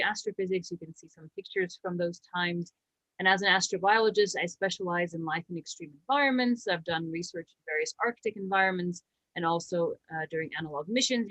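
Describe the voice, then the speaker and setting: A woman, presenting slides about her research in a brisk, explanatory way with a light joke here and there. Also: fairly low pitch, fairly bright tone, no echo, poor audio. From Slovakia. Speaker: astrobiologist and habitat director.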